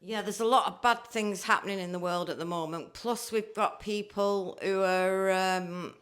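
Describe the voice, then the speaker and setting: A woman's voice: medium pitch, fairly even intonation, normal speed, a bit bright. A woman interviewing a guest, agreeable and chatty, ending points with tag questions. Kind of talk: conversational interview.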